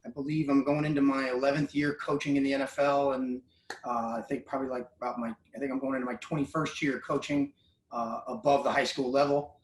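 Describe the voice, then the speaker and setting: A man's voice: mid-range voice, flat delivery, brisk, a little hiss or hum. A man in his forties from Southern California, a football coach, speaking in a thoughtful, rambling way. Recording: echoey room, far from mic.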